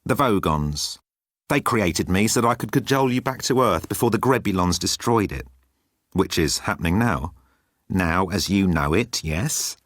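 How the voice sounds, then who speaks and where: A man's voice: low voice, animated, normal speed, a bit bright, dry recording, studio quality. A man voicing a haughty, literal-minded electronic bird in an audiobook, with clipped, formal, pedantic delivery. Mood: flatly informative.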